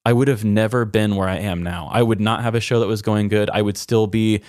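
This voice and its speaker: A man's voice: fairly low pitch, steady tone, brisk, a little mellow, no echo, studio quality. A man hosting a podcast, talking in a candid, reflective and self-deprecating way. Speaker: podcast host. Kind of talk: candid personal conversation.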